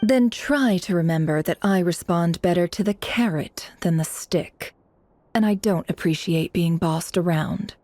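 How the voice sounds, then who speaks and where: A woman's voice: on the low side, unhurried, a little mellow, no echo, close to an everyday voice. An actress voicing a proud, lazy, sardonic young woman boss in a video game, with an arch and teasing delivery. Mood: haughty, teasing. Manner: arch, teasing, self-satisfied. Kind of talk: English video game dub acting.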